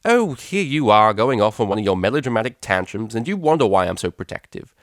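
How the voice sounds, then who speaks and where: A man's voice: fairly low pitch, very animated, fairly quick, no room sound, studio quality. A man voicing a haughty, commanding pony mother with a clipped, condescending manner, in a story reading. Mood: scolding, condescending.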